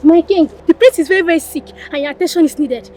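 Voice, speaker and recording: A woman's voice: very high-pitched, normal speed, mellow. A woman playing an anxious, respectful royal messenger in a melodramatic film. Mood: anxious, urgent. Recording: faint noise behind dialogue.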